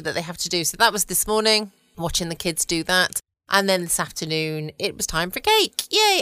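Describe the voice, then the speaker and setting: A woman with a southern English accent hosting a bedtime podcast, chatting gently and casually. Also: lowish voice, clear, resonant tone, no room sound, studio quality. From United Kingdom. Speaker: podcast host. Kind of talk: relaxed bedtime podcast chat. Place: home, dry quiet room.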